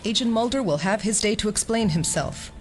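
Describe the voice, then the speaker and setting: A woman's voice: medium pitch, expressive, fairly quick, soft background music, natural voice. A woman playing an officious, smug senior government bureaucrat in an audio drama, clipped and dryly condescending. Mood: coolly smug.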